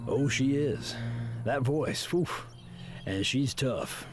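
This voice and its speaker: An actor playing a gruff, wistful middle-aged male fugitive and ex-revolutionary who calls the listener "young blood", in a video game. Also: mid-range voice, slow pace, a little hiss or hum, lightly acted. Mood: warm. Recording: game audio with clear music underneath.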